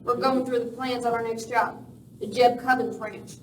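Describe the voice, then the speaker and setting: A woman's voice: fairly high pitch, level tone, normal speed, natural voice. A woman playing a tough, ruthless boss of Western outlaws in a radio play, commanding and curt. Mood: stern, commanding. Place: live performance in a village venue. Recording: echoey hall, distant mic, faint noise.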